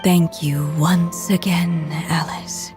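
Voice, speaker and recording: A woman voicing a gentle, solemn, motherly storyteller in a video game, measured and tender. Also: sits low, level tone, music in the background. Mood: fading, tender. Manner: measured, tender, breaking into whispers. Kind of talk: English video game voice acting.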